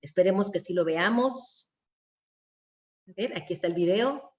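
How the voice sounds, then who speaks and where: A woman's voice: mid-range voice, expressive, moderate pace, noisy background. Mexican woman moderating, dull lo-fi sound. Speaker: panel moderator; secondary school teacher. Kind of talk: moderating an online panel discussion. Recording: dull lo-fi video call audio.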